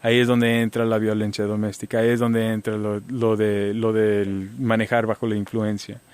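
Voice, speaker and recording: A man giving legal advice as a guest on a radio talk show, speaking haltingly with many restarts and fillers. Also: lowish voice, level tone, a little mellow, clean tone, no room sound. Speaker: immigration lawyer guest. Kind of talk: radio interview answers.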